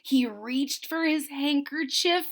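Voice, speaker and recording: A woman reading a picture book aloud to children in a dramatic, animated way, stopping to explain things. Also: sits high, takes it slowly. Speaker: children's story reader. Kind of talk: expressive read-aloud with explanatory asides to children.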